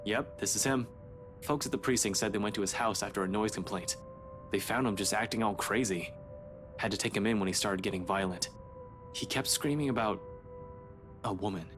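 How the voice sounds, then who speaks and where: A man's voice: lowish voice, a little fast, long pauses, over music, close to an everyday voice. A man acting a grim, blunt, businesslike male police detective in a video game. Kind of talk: scripted visual novel game voice acting.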